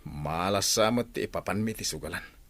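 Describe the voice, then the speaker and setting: A man's voice: low voice, normal speed, creaky, a bit bright, dry recording, soft background music. A man playing a stubborn, lazy gambling husband and father in an Ilocano comedy radio drama, blustering and complaining. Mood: grumbling, defiant.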